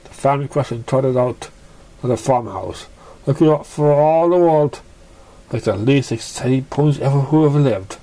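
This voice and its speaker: A narrator reading a story in an amateur storybook style, with halting, stumbling delivery. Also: medium pitch, dark tone, slight background noise, poor audio.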